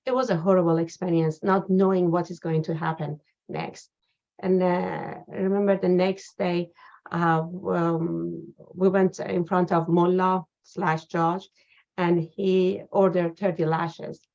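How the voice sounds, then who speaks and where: A woman guest in an interview, telling a personal account slowly with many pauses and fillers. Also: fairly low pitch, little pitch movement, vocal fry, darkish tone. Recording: dull lo-fi sound.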